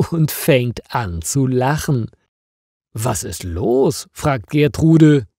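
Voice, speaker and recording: A man narrating a scripted story for language learners in a clearly articulated, expressive reading. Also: medium pitch, dry recording, studio quality. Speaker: narrator of stories for German learners.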